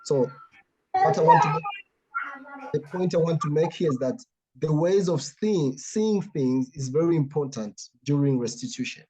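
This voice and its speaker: A man from Tanzania, speaking as an artist in an earnest, reflective way and often pausing to search for words. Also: a little high, sing-song, mellow, poor audio. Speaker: artist guest. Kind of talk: artist talk, reflective explanation.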